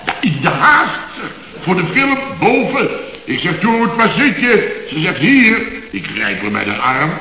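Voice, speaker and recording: An actor playing a flustered, excitable building contractor boss in a radio comedy serial, blustering indignantly and repeating himself. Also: male voice, high-pitched, a little slow, full character voice. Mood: excited. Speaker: construction firm boss. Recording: hissy, dull, echoey old radio recording.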